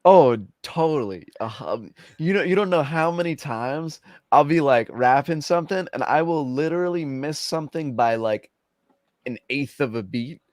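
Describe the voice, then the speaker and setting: A soft-spoken man with a bassy voice, chatting casually as a guest about his rapping. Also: lively intonation, moderate pace, no room sound, clean studio sound. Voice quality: bassy speaking voice. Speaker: guest rapper. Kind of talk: casual interview chat.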